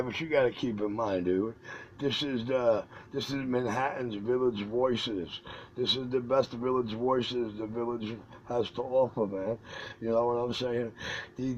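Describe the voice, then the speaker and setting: A man on CB radio talking in a drawn-out, preachy hype-man way. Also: mid-range voice, steady tone, dull audio, a little hiss or hum. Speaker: CB radio operator. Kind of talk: CB radio chatter.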